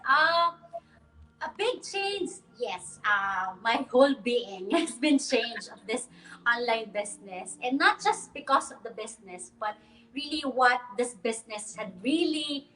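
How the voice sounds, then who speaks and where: High, bright-voiced woman from the Philippines. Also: lively intonation, a little slow, low-quality recording. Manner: cheerful, laughs often, many fillers. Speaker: guest. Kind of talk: casual online interview.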